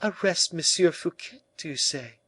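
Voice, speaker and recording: A high, expressive young noblewoman's voice put on by a man in a period audiobook. She is timid by nature yet fiercely devoted, speaking in earnest, impassioned, formal phrases. The sound is close and dry. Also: moderate pace, husky, dry recording. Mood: pleading.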